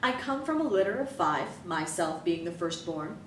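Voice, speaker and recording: A woman's voice: very animated, moderate pace, fairly bright tone. Woman reading a story, roomy and distant. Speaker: reader. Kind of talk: reading a novel excerpt aloud. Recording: roomy, distant mic, faint rumble.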